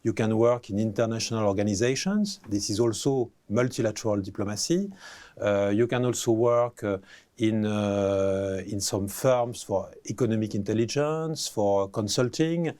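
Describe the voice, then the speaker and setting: A man, a professor answering interview questions, pausing often with fillers and restarting phrases. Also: on the low side, a little mellow. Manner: hesitant, many fillers and restarts.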